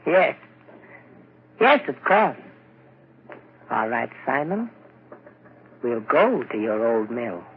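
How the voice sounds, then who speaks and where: A man's voice: mid-range voice, very animated, extremely slow, long pauses, exaggerated voice. A male actor playing a bitter, cruel, misanthropic man in a brooding, theatrical 1940s radio horror style. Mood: sinister, sardonic. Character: bitter misanthropic cruel man, once blind, keen-sighted. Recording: muffled, noisy old radio broadcast.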